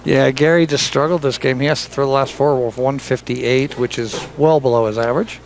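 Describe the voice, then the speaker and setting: A man's voice: mid-range voice, steady tone, a little fast, slight background noise, poor audio. A man doing live bowling commentary in a joking, chatty way, teasing his partner and laughing often. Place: bowling center.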